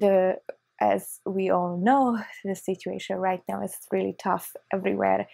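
A woman in her thirties from Hungary with a Hungarian accent, chatting excitedly through a casual unboxing vlog on a lapel mic in her living room. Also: medium pitch, gravelly, no room sound. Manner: chatty, excitable, with short laughs. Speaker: vlogger. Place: living room on a leather couch, indoors.

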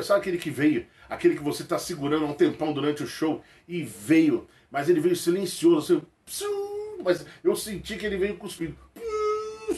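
A man in his forties, a comedian from Rio de Janeiro, chatting to camera and answering viewer questions in a small room. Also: on the high side. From Rio de Janeiro, Brazil. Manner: animated, jokey, laughs often, slangy. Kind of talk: casual chat to camera. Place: small indoor room with toy shelves. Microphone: webcam close to face.

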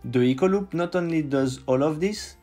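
Male host, strong French accent, calm explainer voice-over, soft music behind. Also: on the high side, moderate pace. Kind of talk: scripted explainer narration.

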